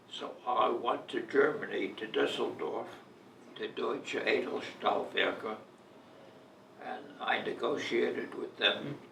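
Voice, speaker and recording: An elderly man in his eighties or older telling personal stories in a halting, deliberate way, as in an interview. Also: mid-range voice, vocal fry, pushed and bright. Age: 80s or older. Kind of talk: reminiscing, personal storytelling. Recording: echoey room, distant mic, faint noise.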